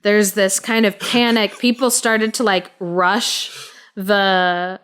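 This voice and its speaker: Slow-paced, very clear-voiced woman telling stories. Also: medium pitch, steady tone, fairly bright tone. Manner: informal, halting, explanatory delivery. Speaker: podcast co-host and storyteller. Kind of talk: casual conversational storytelling.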